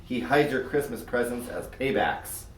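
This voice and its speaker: A man's voice: medium pitch, animated, a little slow, natural voice. A man voicing a skinny schoolboy with a casual, grudging air in a storybook reading. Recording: roomy echo, far from mic.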